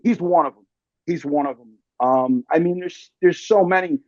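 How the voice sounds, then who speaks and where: A man in casual chat as an interview guest, telling stories in an animated, slangy way and swearing freely. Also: fairly high pitch, normal speed, no echo, dull audio. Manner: slangy, calls listener man and brother. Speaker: interview guest, actor and filmmaker.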